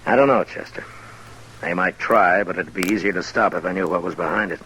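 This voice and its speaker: An actor playing a stern, steady U.S. marshal in an old-time radio western, measured, authoritative and plain-spoken. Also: male voice, sits low, a little hiss or hum, rough recording. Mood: puzzled.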